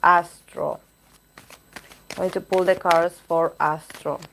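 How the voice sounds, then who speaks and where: Tarot reader, woman, slow unhurried delivery, voice going hoarse, halting as she searches for words mid-reading. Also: fairly low pitch, steady tone, a bit bright, a little hiss or hum, poor audio. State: losing her voice. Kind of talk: live-stream tarot reading, chatting with viewers.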